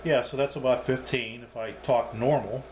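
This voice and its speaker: A man's voice: mid-range voice, steady tone, moderate pace, a little mellow, slight background noise. A man giving a casual, unscripted hands-on demonstration as a hobbyist reviewer, thinking aloud as he goes.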